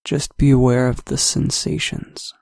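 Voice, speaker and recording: A man guides a meditation at a very unhurried pace, his voice low in volume and touched with a gravelly fry. Long pauses sit between his gentle phrases. The recording is dry and close. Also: little pitch movement, no echo. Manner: slow, soft-spoken, with long pauses.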